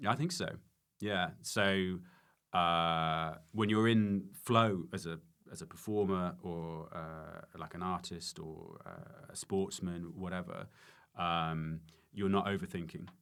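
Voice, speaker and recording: A man's voice: low voice, fairly even intonation, takes it slowly, fairly bright tone, smooth. A man, a stand-up comedian, chatting casually and reflectively as a podcast guest.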